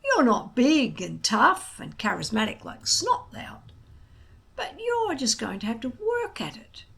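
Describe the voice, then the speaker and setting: A woman voicing a wise, patient but gruff old Viking grandfather in a children's storybook, coaxing with emphatic, drawn-out words. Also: sits high, very animated, fairly bright tone, full character voice. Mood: blunt.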